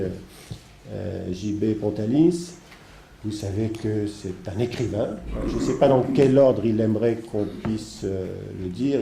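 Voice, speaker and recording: Guy hosting a seminar w a stuffy cold, very mellow creaky voice, rambles and hesitates, echoey room. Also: fairly low pitch. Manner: hesitant, halting, digressive. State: congested from a heavy cold. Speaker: seminar host introducing guest speakers. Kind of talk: spoken introduction of a seminar, semi-improvised. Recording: echoey room with faint background noise.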